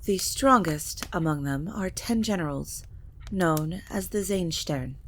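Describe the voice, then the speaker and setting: Woman acting a grave, lowish-voiced vampire matriarch. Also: a little hiss or hum. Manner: formal, measured, solemn delivery. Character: stately ancient vampire matriarch, grave and commanding. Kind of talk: scripted audio drama acting.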